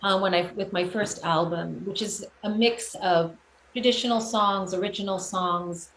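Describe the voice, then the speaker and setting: A middle-aged woman giving reflective, earnest interview answers about her own career, often halting and rephrasing as she goes. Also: medium pitch, fairly bright tone. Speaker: guest, children's music performer and teacher. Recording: roomy echo, clear background noise.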